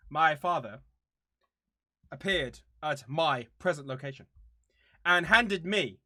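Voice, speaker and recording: Animated, bright-voiced man around thirty. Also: sits high, no echo, studio quality. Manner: playful, theatrically mock-serious. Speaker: channel host. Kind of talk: casual chatty vlog to viewers.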